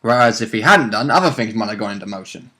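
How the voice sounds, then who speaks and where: A man's voice: fairly low pitch, animated, fast, sharp tone. A man chatting casually as a player and co-commentator, informal and rambling in his way of talking. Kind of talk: casual chat.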